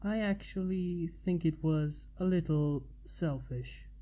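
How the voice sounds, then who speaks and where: A woman voicing a shy, polite male pyromancer with quiet, hesitant speech in a storybook reading. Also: lowish voice, takes it slowly, muffled recording, voice pushed down. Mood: quiet, gentle.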